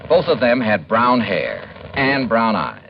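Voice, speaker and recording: Brisk radio detective, animated, dull vintage sound. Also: male voice, mid-range voice, creaky, a little hiss or hum, full character voice. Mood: firm, confident. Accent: American. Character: brisk, shrewd male insurance investigator, commanding and wry. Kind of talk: 1950s radio detective drama acting and narration. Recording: dull old radio recording with music behind.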